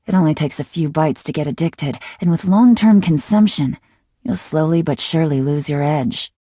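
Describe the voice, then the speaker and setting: An actress playing a mysterious, calculating young female intelligence agent in a video game, with a measured, teasing and self-assured delivery. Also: fairly low pitch, mellow, no echo, studio quality. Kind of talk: scripted video game character voice lines.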